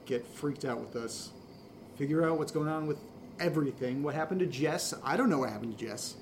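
A man's voice: a little high, lightly acted. Man acting earnest, exasperated podcaster, noisy background. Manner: halting, pleading, insistent. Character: earnest, exasperated, jobless male amateur podcaster. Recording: noticeable ambient background noise.

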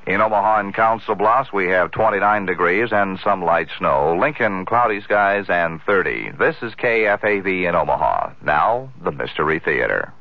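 A man's voice: sits low, animated, moderate pace, hardly any pauses. A man announcing weather and station identification on local radio. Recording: muffled 1970s radio broadcast.

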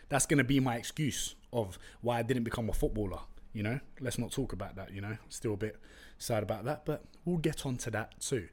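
A young man with a London accent, whose first language is Turkish, tells stories on his own podcast. He talks in a loose, chatty way, full of slang and jokey asides, and his voice sits at an ordinary middle pitch. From East London, England. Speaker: podcast host. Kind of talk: casual solo podcast storytelling.

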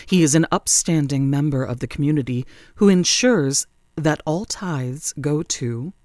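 A woman's voice: deep voice, a little mellow, no echo, studio quality. Haughty, commanding noblewoman played by a woman. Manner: haughty, measured, formal. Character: imperious, cold, aristocratic woman official. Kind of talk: formal audio drama dialogue.

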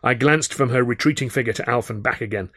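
A man reading a first-person audiobook in a measured, expressive and wry storytelling manner, close on the microphone. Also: mid-range voice, dry recording. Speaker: author reading his own novel.

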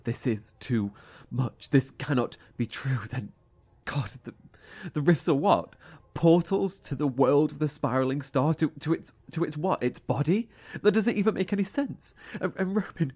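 An actor playing an anguished, protective young survivor in a horror audio drama, speaking haltingly and emotionally. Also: male voice, a little high, lively intonation, a little mellow, husky. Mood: disbelieving, panicked.

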